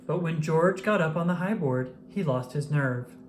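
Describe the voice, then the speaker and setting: A narrator reading a children's picture book aloud in an expressive, measured storytelling style. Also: medium pitch, a little hiss or hum.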